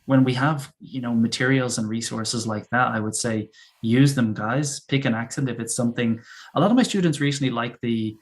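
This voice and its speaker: A man's voice: mid-range voice, moderate pace. A man who teaches English, chatting casually and enthusiastically, often repeating words as he works out his thoughts.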